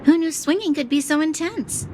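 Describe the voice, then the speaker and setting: A woman's voice: high voice, moderate pace, noisy background, lightly acted. A woman playing an earnest, dutiful young noblewoman in a game cutscene, speaking in a proper, formal and serious manner. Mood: disapproving. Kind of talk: English-dubbed game cutscene acting.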